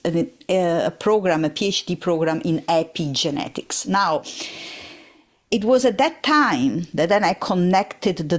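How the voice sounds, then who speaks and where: A woman from Italy with an Italian accent, giving thoughtful interview answers with frequent hesitations. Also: fairly low pitch. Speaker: podcast guest.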